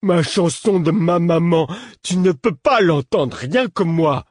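A man voicing an angry, simple-minded, stocky man with a shrill, slow, childish wail, in an audiobook reading. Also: no room sound, clean studio sound. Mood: possessive, furious.

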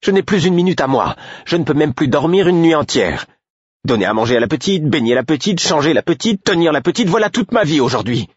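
A man's voice: low voice, sing-song, a little fast, husky, no echo, dull audio, exaggerated voice. A man voicing an exhausted, exasperated young mother in an audiobook, snapping and complaining with angry defiance. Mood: angry, defiant. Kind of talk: audiobook character acting.

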